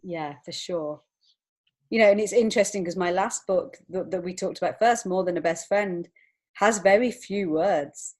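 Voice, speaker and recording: A woman's voice: on the low side, normal speed, darkish tone. A woman speaking as an interview guest in an earnest, reflective and encouraging way. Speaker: podcast guest, children's author and illustrator. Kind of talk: conversational interview answers.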